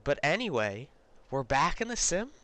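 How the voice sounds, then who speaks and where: A man's voice: on the high side, very animated, moderate pace, sharp tone. Congested man chatting casually on stream. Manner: rambling, chatty, many fillers and restarts. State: congested, recovering from a cold. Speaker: live-stream host. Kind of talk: casual live-stream chat. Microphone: close desk mic.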